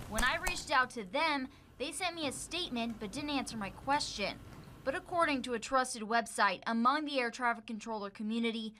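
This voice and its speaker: A woman's voice: a little high, fairly bright tone. An American woman working as a television news reporter, delivering a live report with scripted narration. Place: on location at an airport for live segments.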